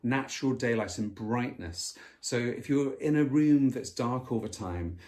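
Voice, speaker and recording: A man talking through advice in a relaxed, unscripted way. His voice sits at an ordinary middle pitch. He hesitates often, filling gaps and restarting his sentences. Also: unhurried. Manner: hesitant, many fillers and restarts. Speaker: co-host. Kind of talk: casual advice chat.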